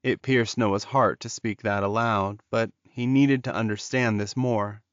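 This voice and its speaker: A man's voice: on the low side, steady tone, a little slow, clean tone, no echo, dull audio. A man narrating romance fiction as an audiobook, in a dramatic scripted style.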